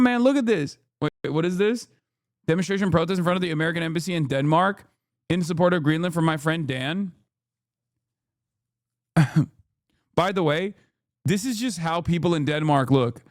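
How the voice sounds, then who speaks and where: High-pitched sarcastic male streamer. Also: dry recording, studio quality. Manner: animated, sarcastic, swears often. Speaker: streamer host. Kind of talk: casual reaction commentary.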